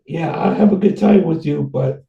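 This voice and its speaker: Gravelly, dark-voiced man in an echoey room. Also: fairly high pitch, recorded from a distance, muffled recording. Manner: rambling, halting, many restarts. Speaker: host. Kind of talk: casual conversation.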